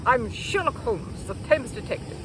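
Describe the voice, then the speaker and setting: A woman's voice: a little high, lively intonation, normal speed, noisy background, close to an everyday voice. A woman voicing a small, earnest male bear in a children's storybook, proud and indignant. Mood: self-important.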